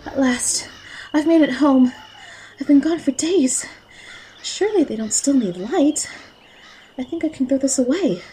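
A woman playing a sweet young maiden in a fairy-tale audio drama, earnest and expressive. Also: high-pitched, measured pace, pauses often, background noise. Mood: relieved, surprised.

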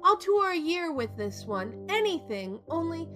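A woman's voice: extremely high pitch, very animated, measured pace, pushed and bright, soft background music, full character voice. A woman acting in an audio drama as an outspoken middle-aged leading actress, theatrical, blunt and emphatic. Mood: earnest, emphatic.

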